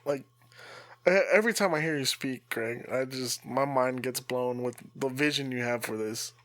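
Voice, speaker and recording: Man, podcast co-host, average pitch, casual chat, admiring, informal, dry sound. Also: normal speed, a bit bright, dry recording.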